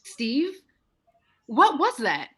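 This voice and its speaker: An actress playing an anxious suburban housewife in a radio play. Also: female voice, high voice, wildly swooping pitch, very slow, full character voice. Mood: alarmed.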